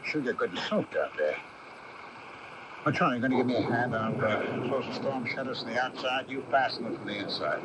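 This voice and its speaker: A man's voice: mid-range voice, lively intonation, fairly quick, natural voice. An actor playing a brusque, bossy middle-aged father in a 1980s radio drama, calling out in a folksy manner. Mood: brusque, impatient. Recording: very noisy old AM radio broadcast.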